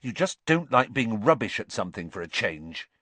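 A man acts out a superstitious, easily riled schoolboy in a storybook. He is plain-spoken and earnest, with very mobile pitch and a close, dry sound. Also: very animated, moderate pace, pushed and bright, no echo, heavily acted. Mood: heated. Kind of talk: audiobook character voice.

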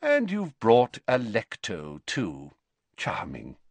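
A man voicing a wise old wizard in an audiobook, measured and polite, calm with a gently wry manner. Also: mid-range voice, dry recording, voice pushed up. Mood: courteous irony.

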